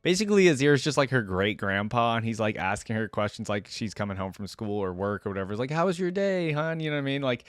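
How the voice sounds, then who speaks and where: A man's voice: mid-range voice, lively intonation, fast, few pauses, no room sound. A man giving casual, chatty commentary as he reacts to game voice lines, close to the microphone. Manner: informal, chatty.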